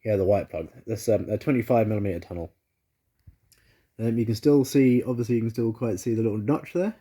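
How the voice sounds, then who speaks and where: A man's voice: on the low side, fairly quick, warm tone. A man vlogging casually from his living room, rambling with fillers, still congested after the flu, recorded on a phone. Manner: rambling, many fillers and restarts. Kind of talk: casual chat to camera. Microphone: phone camera.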